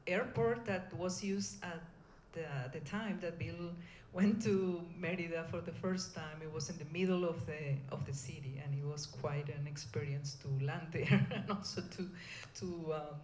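Woman, middle-aged, Venezuelan Spanish accent, informal conference talk, halting phrasing, echoey room, background noise. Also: fairly low pitch, steady tone, a little mellow. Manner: hesitant, halting delivery. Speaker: conference speaker, scientist.

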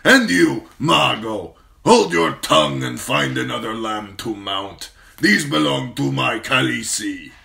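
A man doing a slow booming warlord. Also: expressive, creaky, bright, forceful tone, full character voice. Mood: boastful. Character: fierce proud male warlord, stern and commanding.

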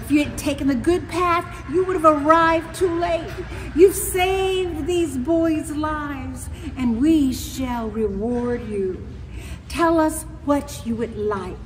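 A woman voicing a proud, loving mother in a storybook, with emphatic, drawn-out words. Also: sits high, slight background noise. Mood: proud, grateful. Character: proud, loving mother praising her little girl. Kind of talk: storybook read-aloud. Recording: echoey hall, music behind.

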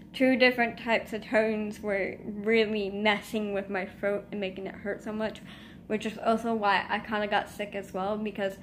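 Teen girl, casual vlog venting, rambling with restarts, phone mic at arm's length, faint background hiss. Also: mid-range voice. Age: teens. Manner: rambling, restarts and trailing asides. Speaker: vlogger. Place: small indoor room. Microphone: phone camera at arm's length.

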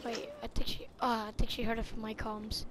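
An excitable, slangy player chatting casually in character during a crime roleplay game. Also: medium pitch, brisk. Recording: clearly noisy game audio with vehicle sounds. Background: game vehicle and street noise.

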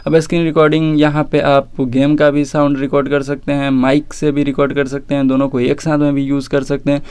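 A man's voice: a little high, monotone, a little fast, a little mellow, clear, resonant tone, no room sound. A man with an Indian accent presenting a casual phone feature walkthrough, informally addressing viewers directly. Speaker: tech video presenter.

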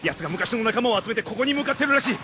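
A man playing a rough, loyal young gang member in a radio drama, talking in an agitated way. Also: very high voice, animated, very fast. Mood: urgent, alarmed.

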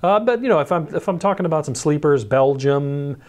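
A man's voice: a little high, lively intonation, fairly quick, darkish tone. A middle-aged man, a guest panelist on a local sports talk show, speaking hesitantly with many fillers in a small studio on a lapel mic. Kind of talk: casual sports chat. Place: small brick-walled TV studio set.

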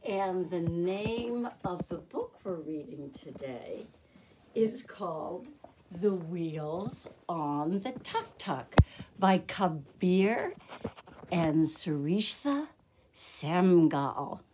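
An older woman, in her sixties, reading aloud to young children as their teacher, with deliberate, expressive, simple phrasing. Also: lowish voice. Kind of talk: children's read-aloud storytelling. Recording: noisy amateur room recording with clicks.

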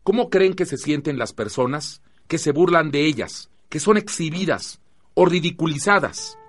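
A Mexican man playing an earnest, firm youth-group facilitator in a radio drama, speaking in a measured, didactic way. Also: a little high, lively intonation, slightly rough. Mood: encouraging, patient. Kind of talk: Spanish-language radio drama acting.

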